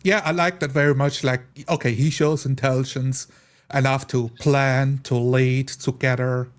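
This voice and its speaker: A man's voice: medium pitch, level tone, normal speed. A man in a casual group chat about a role-playing game, explaining his points through examples. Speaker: tabletop role-playing player.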